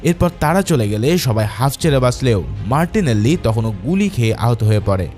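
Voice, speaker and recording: A man's voice: medium pitch, lively intonation, fast, a little mellow. A man narrating a movie recap from a script in a casual, dramatic storytelling way, addressing viewers informally. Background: background music.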